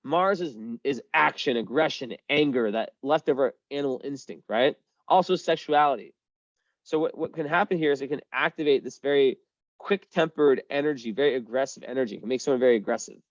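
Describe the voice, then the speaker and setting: A man's voice: medium pitch, fairly quick, dry recording. Young guy doing a chatty astrology reading, um and like everywhere, dry slightly dull sound. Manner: rambling, frequent fillers and restarts, casual swearing. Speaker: astrology reader. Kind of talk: casual one-on-one chart reading.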